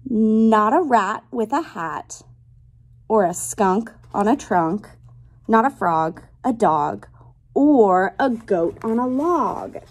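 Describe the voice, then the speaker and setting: A woman in her twenties, a teacher reading a picture book aloud to young children in a deliberate, child-directed way. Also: on the high side, expressive, darkish tone. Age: 20s. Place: small classroom, indoors.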